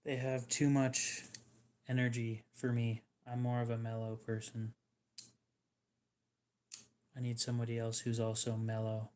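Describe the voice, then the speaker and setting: A man hosting a livestream in casual chat, talking in a dry, monotone, subdued way that sounds depressed to him. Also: mid-range voice. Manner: dry, self-deprecating, unhurried. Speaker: livestream host.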